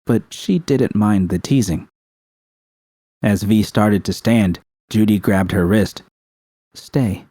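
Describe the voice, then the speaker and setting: A man's voice: fairly low pitch, slow pace, mellow, dry recording. A man reading scripted narration from a story as an audiobook narrator. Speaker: narrator of a fan fiction audiobook.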